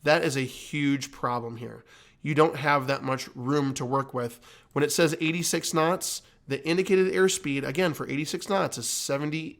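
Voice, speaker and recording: A man's voice: mid-range voice, fairly bright tone. A man giving an explanatory commentary like an instructor, teaching emphatically and repeating key points. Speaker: flight instructor and host.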